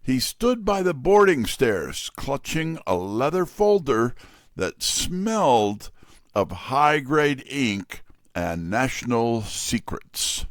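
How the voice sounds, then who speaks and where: An actor playing a wry detective's assistant, narrating a scripted mystery in the first person with measured, dry delivery. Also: male voice, medium pitch, very animated, no echo. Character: wry male detective's assistant telling the story.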